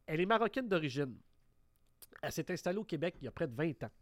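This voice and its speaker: Homme québécois à la voix assez haute et sèche. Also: male voice, a little high, very animated, fast talker, no echo, clean studio sound. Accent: Québécois French. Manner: reads news items then comments, with many fillers and restarts. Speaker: radio talk show host. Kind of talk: talk radio commentary.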